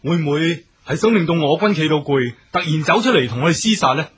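Radio drama bit part: wary, servile henchman, Hong Kong Cantonese, fairly high and very husky. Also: animated, fast. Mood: wary, deferential. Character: cautious, subservient aide to a barbarian king. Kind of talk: theatrical radio drama acting.

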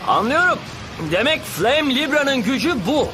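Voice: high-pitched, animated, a little slow, over music. An actor playing a cool, confident teenage boy in a cartoon dub, proud, taunting and dramatic. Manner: dramatic, self-assured, declaiming. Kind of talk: Turkish cartoon dub acting.

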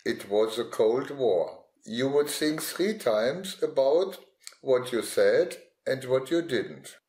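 A man's voice: medium pitch, expressive, takes it slowly, darkish tone, echoey room. A man giving a scripted first-person reminiscence as a witness looking back. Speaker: witness recalling past experience.

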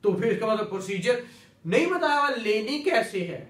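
A man's voice: sits high, very animated, normal speed, a bit bright. A man in his thirties with a Pakistani accent teaches law, mixing in English legal terms, repeating key words and often checking that students follow. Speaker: law lecturer. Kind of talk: classroom lecture with questions to students. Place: small indoor teaching room with whiteboard. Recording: echoey room, mic at a distance.

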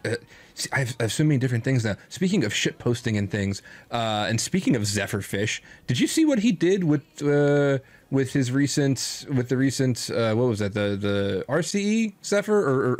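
A man in his thirties hosting a casual streamed chat, excitable, swearing freely and laughing often. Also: mid-range voice, dry recording. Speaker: podcast host.

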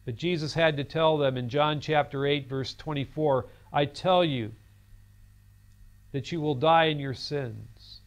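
A man's voice: a little high, measured pace. A man in his fifties giving a recorded seminary lecture in a studio classroom set, speaking earnestly and telling a story on a lapel mic. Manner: earnest, storytelling, gestures while talking.

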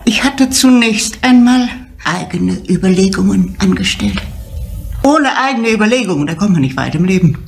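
A woman's voice: mid-range voice, expressive, normal speed, gravelly. An actress playing a stubborn, worried middle-aged mother who runs a tavern, speaking in a blunt, guarded way in a crime drama. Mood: defiant. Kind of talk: German crime drama acting.

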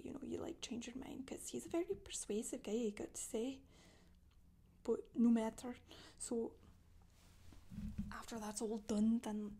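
Casting: woman as a gossipy, boastful witch for soft ASMR storytelling, breathy and mellow, often whispering. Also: fairly high pitch. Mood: confiding. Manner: rambling, gossipy, casual asides. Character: Scottish witch woman confessing, matter-of-fact, chatty, boastful. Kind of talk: soft-spoken ASMR character monologue. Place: small indoor room, seen seated.

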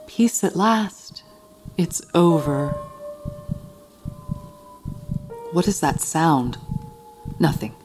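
An actress playing an obsessively tidy, murderous housekeeper, a genteel lady narrating a Gothic radio play in a theatrical, deliberate way. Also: medium pitch. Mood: agitated, relieved. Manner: theatrical, deliberate, repeating words for emphasis.

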